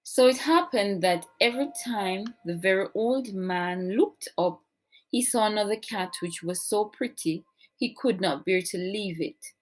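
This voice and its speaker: Slow-paced woman reading a children's story. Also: mid-range voice, slight background noise. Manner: expressive, deliberate storytelling delivery. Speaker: storybook reader, teacher. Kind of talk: children's read-aloud storytelling.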